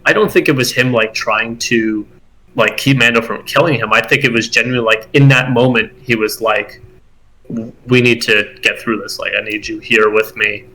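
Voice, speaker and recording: Bright, forceful male co-host, casual rambling podcast talk, lots of restarts. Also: mid-range voice, moderate pace, poor audio. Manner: rambling, animated, many fillers and restarts. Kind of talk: casual chat.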